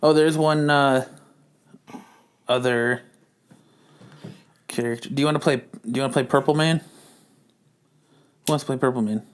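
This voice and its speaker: A man's voice: mid-range voice, fairly even intonation, a little slow, long pauses, clean tone. Man hosting with casual, jokey banter. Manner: jokey, profane. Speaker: podcast host. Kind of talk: casual banter introducing a comedy reading.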